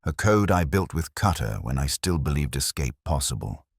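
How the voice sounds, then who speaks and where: A man voicing a weary, grey-haired woman in an audiobook story, speaking softly, steadily and without fear. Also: rumbling low, normal speed, gravelly, breathy edge, dry recording, clean studio sound, full character voice. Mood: weary confiding. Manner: soft, steady, unafraid.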